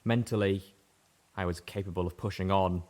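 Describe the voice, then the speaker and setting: A man reading a story aloud in first person, steady and measured. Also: deep voice. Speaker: audiobook reader. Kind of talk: first-person narration of fan fiction.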